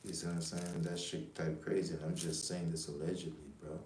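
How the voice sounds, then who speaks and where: A man from Jamaica with a very deep, dark, flat voice rambles through a profane livestream monologue. He leans on slang and keeps checking in with his listeners. The sound is roomy and echoey, with a faint hiss underneath. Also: a little fast. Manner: rambling, profane, streetwise, repeats "you know what I'm saying". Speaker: livestream host.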